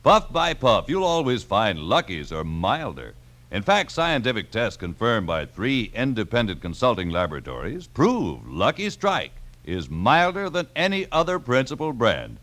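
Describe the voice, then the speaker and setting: A man's voice: mid-range voice, wildly swooping pitch, moderate pace, a bit bright. A man reading a 1950s radio commercial as an announcer, in a salesman's scripted style.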